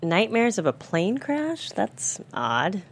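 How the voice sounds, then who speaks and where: A woman's voice: mid-range voice, big pitch swings, slow pace, slight background noise. A woman in a script table read playing a concerned, inquisitive friend in her mid-thirties. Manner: questioning.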